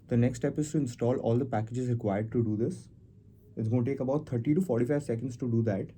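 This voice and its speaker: A man hosting a casual software tutorial, explaining things step by step as he goes. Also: mid-range voice, fast talker, slightly rough. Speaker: tutorial host. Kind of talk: casual screen-recorded software walkthrough.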